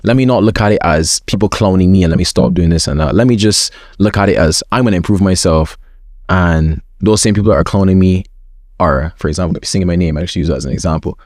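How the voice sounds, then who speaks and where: A man's voice: sits low, fast talker, darkish tone, dry recording, clean studio sound. A young man as an interview guest, chatting openly in slangy talk with many repeated words. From school system with forms. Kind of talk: casual interview chat.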